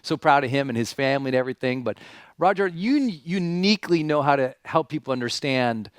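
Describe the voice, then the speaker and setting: A man's voice: medium pitch, animated, fairly quick, smooth, no echo, studio quality. A man hosting a seminar as a motivational speaker, telling stories emphatically, with repeated phrases and rhetorical questions. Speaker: seminar host and speaker introducing a guest. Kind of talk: motivational stage talk, storytelling and guest introduction.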